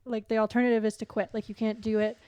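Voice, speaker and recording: A woman with a fairly high voice chats candidly as a podcast guest. Her delivery is halting and reflective, full of filler "like", and stays fairly even in tone. The sound is close and dry. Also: clear, resonant tone, no room sound. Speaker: podcast guest, competitive athlete and coach. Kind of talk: candid casual interview chat.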